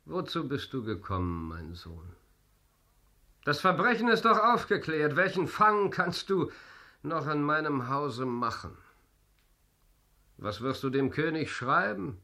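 An actor playing a proud, embattled, sardonic playwright in a radio drama, declamatory and biting. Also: male voice, a little high, sing-song, measured pace, pauses often, sharp tone, heavily acted. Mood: indignant. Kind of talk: theatrical radio drama acting.

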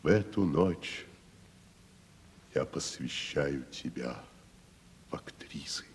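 Wandering tragedian: magnanimous, slow, ringing stage declamation, low-pitched, long reverb and music behind. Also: male voice, lively intonation, background noise, exaggerated voice. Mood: tender, solemn. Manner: declamatory, pompous, swelling and hushed. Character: grandiloquent, magnanimous wandering male tragedian actor. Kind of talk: theatrical Russian radio stage acting. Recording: 1970s radio play, reverberant, music behind.

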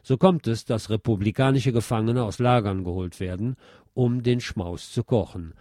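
A man presenting a scripted film review as a radio host, measured and articulate in his delivery. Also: lowish voice, warm tone, dry recording, clean studio sound.

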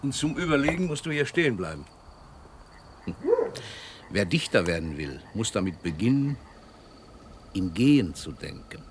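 An actor playing an aging, famous poet in a German radio drama, a gruff but patient mentor with a measured, declamatory delivery. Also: male voice, on the low side, big pitch swings, background noise. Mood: teasing, instructing. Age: older.

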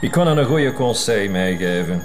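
An actor playing a patronising tough who makes veiled threats in an insistent way, with a Flemish accent. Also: male voice, on the low side, lively intonation, moderate pace, music in the background, natural voice. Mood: menacing. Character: menacing tough man giving veiled threats. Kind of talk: drama acting.

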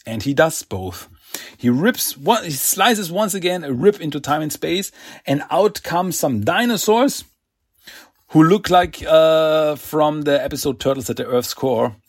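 A man's voice: fairly high pitch, lively intonation, a little slow, breathy edge, no room sound, clean studio sound. A man with a slight French accent hosting a casual comic recap podcast, rambling with fillers and restarts and acting out quotes. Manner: chatty, many fillers and restarts, acts out quotes. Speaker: podcast host.

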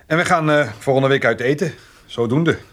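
An actor playing a hesitant, plain-spoken, good-natured farmer in a naturalistic radio drama, with a husky voice. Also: male voice, mid-range voice, normal speed, a bit bright, close to an everyday voice. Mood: businesslike, wary.

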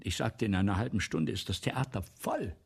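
An actor playing an excitable, domineering, grandiose playwright in a German radio play, breathy and theatrical, often lapsing into whispers. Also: male voice, lowish voice, normal speed, faint music behind, heavily acted. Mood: insistent. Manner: theatrical, pompous, insistent.

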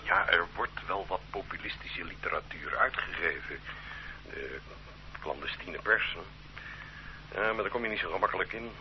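An actor playing a cautious, hesitant bookseller in an old radio play with faint hum. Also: male voice, sits low, normal speed, lo-fi sound. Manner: hesitant, full of ehs. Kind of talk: radio drama acting.

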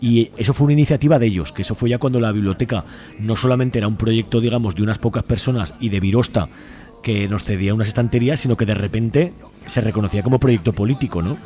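Guy talking really fast, soft mellow tone, casual radio chat, super talkative. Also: lowish voice. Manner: talkative, colloquial, seeks agreement with question tags. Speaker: community library volunteer, interview guest.

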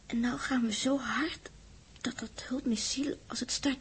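An actress playing an anxious, tender young woman on a spaceship in a 1960s radio drama, halting and pleading. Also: a little high, fairly even intonation, slightly rough. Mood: fearful, hushed.

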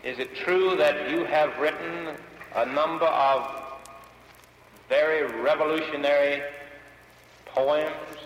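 Echoey archive American male interrogator, highish voice. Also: steady tone, takes it slowly, long pauses. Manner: insistent, official. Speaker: questioner at a congressional hearing. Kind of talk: formal interrogation, 1940s hearing. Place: large reverberant hearing room. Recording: echoey, noisy 1940s archive hearing recording.

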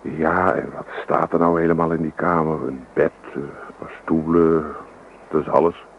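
A man's voice: extremely low pitch, steady tone, normal speed, gravelly. A man playing a carefree, teasing young suitor in a Dutch radio drama, coaxing and nonchalant. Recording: muffled radio recording, buzzy noise.

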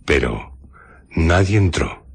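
A man narrating a scripted radio drama in a measured, dramatic way. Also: rumbling low, lively intonation, creaky, breathy edge.

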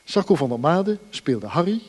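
A man's voice: a little high, very animated, normal speed, darkish tone, husky, long reverb tail. A man reading closing credits as a scripted radio drama announcement.

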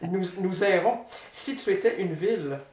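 A man hosting a casual radio interview, chatty, playful and quick to laugh. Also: high-pitched, crowd noise. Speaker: radio host. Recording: roomy and distant from the mic.

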